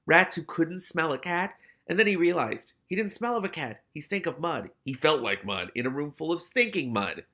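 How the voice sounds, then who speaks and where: Guy reading a book out loud w casual comments, kinda creaky gravelly voice. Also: fairly high pitch, animated, normal speed, hardly any pauses. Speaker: host reading a novel aloud with commentary. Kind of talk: expressive read-aloud with casual asides.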